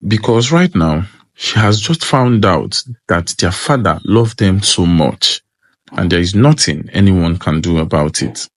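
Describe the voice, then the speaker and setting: Guy telling a folktale, lowish voice, really animated, close dry sound. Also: a little slow, no room sound. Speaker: storyteller. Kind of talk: scripted folktale narration.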